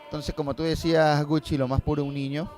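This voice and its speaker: A man's voice: a little high, level tone, fast, music in the background. A man speaking as a filmmaker guest in a casual interview, explaining and telling anecdotes, often tagging phrases with a questioning "no?".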